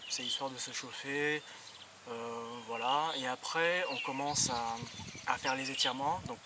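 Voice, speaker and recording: A young man giving a casual vlog explanation, haltingly and searching for words. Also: mid-range voice, far from the mic, very noisy. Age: young adult. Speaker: vlogger.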